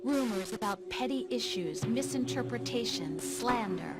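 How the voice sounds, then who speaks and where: A woman voicing an artificial intelligence in a video game, calmly lecturing with patronizing condescension. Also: on the high side, moderate pace, lightly acted. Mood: calm, condescending. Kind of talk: video game cutscene acting. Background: music.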